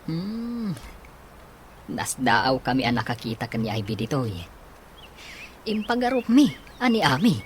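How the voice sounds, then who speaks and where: A man's voice: medium pitch, very animated, unhurried, pauses often, a little hiss or hum. An actor with an Ilocano accent playing an earnest, smitten young man in a radio drama. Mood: friendly.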